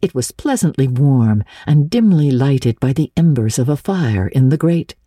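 A woman narrating classic literature as an audiobook, with dramatic, measured storytelling. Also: rumbling low, expressive, dark tone, breathy edge, no room sound, studio quality.

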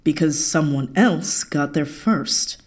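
A woman playing a composed, deductive intelligence officer in an audio drama, measured and matter-of-fact. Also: low voice, husky, exaggerated voice.

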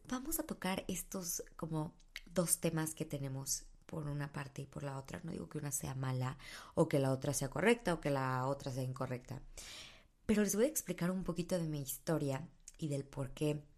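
A woman's voice: deep voice, gravelly, darkish tone. A woman, candidly sharing personal stories on her podcast with many fillers. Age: 20s. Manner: candid, thinking aloud with fillers. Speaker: podcast host. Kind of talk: casual personal monologue.

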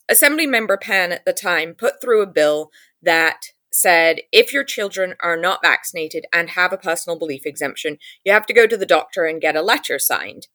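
An English woman in her thirties recounts her story in a lively, chatty way, slipping into quoted thoughts as she goes. Her voice sits a little low but has a bright, forward edge. The recording is close and dry, with no room around it. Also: no echo. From England. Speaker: advocacy group founder. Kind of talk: storytelling in an interview.

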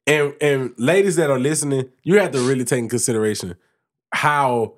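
A man co-hosting a casual podcast, talking at length in an ordinary mid-pitched voice. He builds his argument with lots of restarts and repeated words. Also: a little fast. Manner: talkative, explains at length with many restarts. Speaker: podcast co-host.

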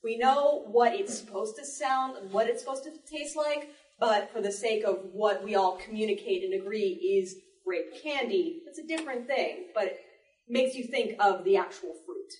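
A woman's voice: mid-range voice, moderate pace, darkish tone, far from the mic. A woman speaking informally on a panel as a live Foley sound artist, presenting to an audience. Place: public library panel room. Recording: roomy library panel, off-mic.

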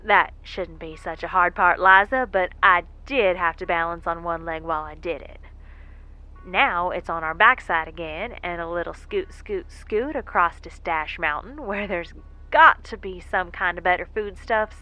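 Rambling folksy space castaway, lowish voice. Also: female voice, moderate pace, sharp tone, faint music behind, rough recording. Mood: chatty, self-narrating. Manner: meandering, folksy, easygoing. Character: quirky, rambling, folksy stranded woman space explorer. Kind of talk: naturalistic audio drama monologue.

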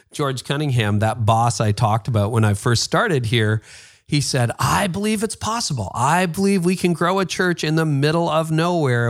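A man in his fifties with a Toronto Canadian accent, talking emphatically in a casual interview and sarcastically mimicking other people's excuses. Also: medium pitch. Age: 50s. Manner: emphatic, animated, mock-quoting excuses with sarcasm. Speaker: podcast host being interviewed.

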